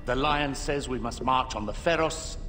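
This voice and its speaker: An actor playing a ruthless, scornful military schemer in a video game cutscene. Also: male voice, medium pitch, moderate pace, over loud music, lightly acted. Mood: cold, scheming.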